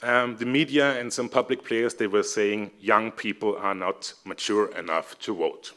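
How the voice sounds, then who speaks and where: Man, Austrian accent, fairly low voice, halting speech full of ums, seminar talk. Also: fairly even intonation, sharp tone. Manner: hesitant, many fillers, friendly. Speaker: seminar speaker, civic educator. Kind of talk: conference presentation.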